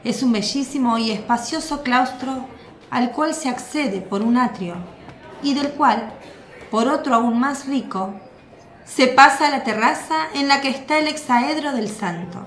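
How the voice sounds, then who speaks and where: Woman narrator for a radio drama, higher voice, echoey room with faint hiss. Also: a little slow, fairly bright tone.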